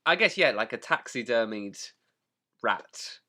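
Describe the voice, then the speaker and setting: A man's voice: medium pitch, expressive, a little slow, pushed and bright. A man co-hosting a podcast, chatting casually with a wry, sweary manner. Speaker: podcast co-host. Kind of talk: casual chat.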